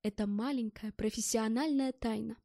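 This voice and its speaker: Женщина читает за сдержанного, вежливого героя аудиокниги. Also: female voice, on the high side, moderate pace, gravelly, dry recording, studio quality. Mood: calm reassurance. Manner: restrained, polite, calming. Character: composed, reassuring male first-person hero, slyly polite.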